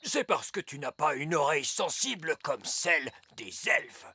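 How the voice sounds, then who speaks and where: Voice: medium pitch, animated, unhurried, pushed and bright, husky, exaggerated voice. An actor playing a proud, stubborn elf musician in a French cartoon, with a pompous, emphatic delivery. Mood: proud, defensive.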